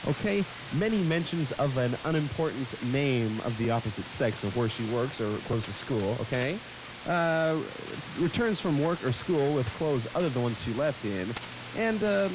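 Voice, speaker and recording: Dude hosting goofy radio show, lively pitch, really hissy muffled recording. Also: male voice, moderate pace. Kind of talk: casual comedic talk radio.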